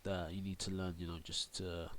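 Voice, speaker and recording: A man's voice: sits low, flat delivery, moderate pace, fairly bright tone, slight background noise. A young man with a cold, congested, casually walking through a game tutorial full of fillers and restarts. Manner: rambling, many fillers and restarts. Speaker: gaming YouTuber and streamer.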